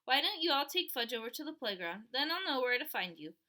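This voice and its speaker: A fussy, doting mother, as a woman reader puts her on, anxious and hurried. Her voice is bright and lifts often as she frets. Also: high voice, big pitch swings, exaggerated voice. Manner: anxious and fussing.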